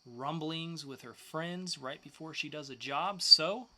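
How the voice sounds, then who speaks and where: Bright, higher-voiced man co-hosting a podcast. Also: unhurried. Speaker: podcast co-host. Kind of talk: upbeat scripted podcast presenting.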